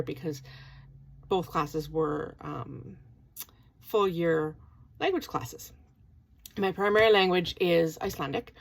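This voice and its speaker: A woman's voice: low-pitched, gravelly. A woman in her forties from Canada, talking casually to camera in a rambling vlog with plenty of ums and restarts. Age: 40s. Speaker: vlogger, part-time university student.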